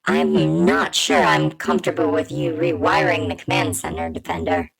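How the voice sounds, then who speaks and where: A woman playing a cautious holographic computer entity in an audio drama, formal, measured and wary. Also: deep voice, sing-song, vocal fry, a crowd in the background, full character voice.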